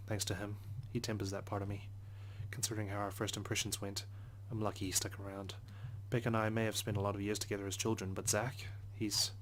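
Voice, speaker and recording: A man narrates a game story aloud in a low, flat voice at a quick pace. He reads earnestly, stumbling now and then, with some background noise. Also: poor audio. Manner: earnest, occasional stumbles while reading. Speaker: let's play host reading a visual novel aloud. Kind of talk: narration read aloud in first person.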